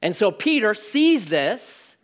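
Pastor, male, loud voice that carries; animated, joking sermon storyteller with lots of pitch movement. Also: slow pace.

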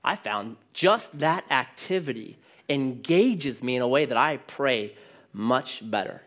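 Loud, lively male preacher. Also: mid-range voice, a little slow. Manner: loud voice that carries; animated storytelling with jokes. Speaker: pastor. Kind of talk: sermon.

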